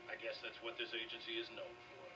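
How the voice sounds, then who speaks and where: A man's voice: mid-range voice, flat delivery, fast talker, recorded from a distance, over music, close to an everyday voice. A man playing a principled older military quartermaster in a video game, stern and admonishing. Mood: stern, reproachful. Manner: admonishing, plain-spoken.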